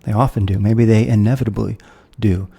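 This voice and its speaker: A man's voice: on the low side, fairly even intonation, a little fast, vocal fry, warm tone, slightly rough. An American man, a guest philosopher, talking through ideas in a thoughtful, professorial way with the odd wry laugh. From United States. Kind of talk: conversational interview.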